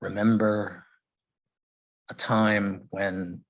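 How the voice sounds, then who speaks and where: A man leading a guided meditation, speaking gently in short phrases with long pauses. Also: lowish voice, level tone. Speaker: meditation leader.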